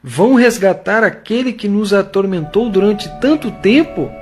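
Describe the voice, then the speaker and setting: A man's voice: high voice, expressive, moderate pace, faint music behind, pitched above the performer's own voice. A man voicing frightened, incredulous companions in an audio drama, questioning in a startled manner.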